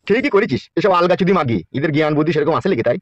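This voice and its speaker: A man as an arrogant high-voiced cartoon politician. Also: animated, racing, no room sound, dull audio, lightly acted. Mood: contemptuous threatening. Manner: brusque, threatening. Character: arrogant, philandering male politician, crude and dismissive. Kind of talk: amateur Bengali comedy cartoon dialogue.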